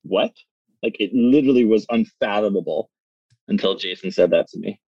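A man's voice: lowish voice, moderate pace, dark tone, slightly rough, no echo, muffled recording. A man in his thirties, a startup founder, talking in a rambling, casual way with lots of "like" fillers, recorded in a small phone booth. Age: 30s. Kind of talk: casual interview chat.

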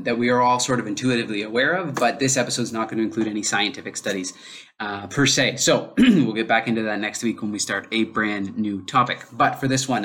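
A man's voice: on the low side, a bit bright. A man hosting a solo podcast, talking it through unscripted, with lots of filler sounds and restarts. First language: English. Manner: unscripted, heavy use of fillers like um; thinking aloud, restarts mid-sentence. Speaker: podcast host.

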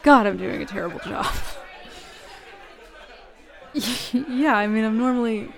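A woman playing a flustered, smitten, jealous roommate in an intimate roleplay audio, speaking haltingly and nervously. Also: a little high. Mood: flustered, embarrassed. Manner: halting, nervous, stammering with breathy laughs. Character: flustered, smitten, jealous bad-girl roommate confessing a crush. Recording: bar crowd murmur behind voice.

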